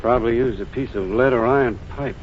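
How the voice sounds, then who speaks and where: An actor playing a plain-spoken highway patrolman in a 1940s radio drama, talking casually. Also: brisk, slight background noise, natural voice. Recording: muffled 1940s radio broadcast.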